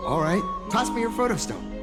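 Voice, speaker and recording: A man's voice: high-pitched, big pitch swings, brisk. A man playing a friendly, eager young explorer in a video game, chatty and earnest, with a stammer. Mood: cheerful, eager. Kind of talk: video game character dialogue. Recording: loud music behind the voice.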